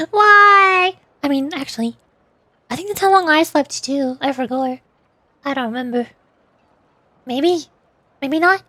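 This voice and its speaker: A woman with a very high voice talks casually to her livestream audience during a game. She is playful and giggly, fond of light teasing. The sound is dry and close, with very little room in it. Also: dry recording. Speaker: VTuber livestream host. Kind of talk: casual chat with stream viewers while playing a game.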